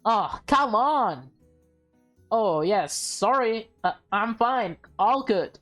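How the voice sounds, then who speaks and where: A woman voicing a nervous teenage boy disguised as a polite maid in a visual novel, halting and overly formal. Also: on the high side, animated, fairly bright tone, faint music behind, pitched above the performer's own voice. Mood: flustered. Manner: halting, stammering, overly formal when covering up. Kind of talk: visual novel dialogue read in character.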